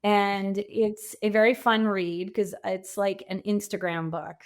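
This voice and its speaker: A woman speaking conversationally as a podcast guest and coach, telling stories in an animated way with bits of quoted dialogue. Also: mid-range voice, measured pace, smooth. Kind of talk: conversational interview.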